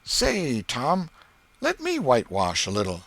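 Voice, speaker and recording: A man's voice: mid-range voice, wildly swooping pitch, measured pace, gravelly, fairly bright tone, breathy edge, no echo, voice pushed up, heavily acted. A man voicing an eager, gullible village boy in a storybook, pleading in colloquial rural speech. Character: eager, wheedling village boy, easily fooled.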